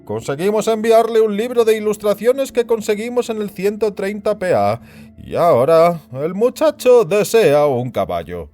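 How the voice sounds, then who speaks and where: Male narrator as burly disapproving director, high-pitched, very animated delivery, condescending, emphatic, audio story. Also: normal speed, soft background music. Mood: scornful, explaining. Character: overbearing big burly male institute director, perpetually disapproving.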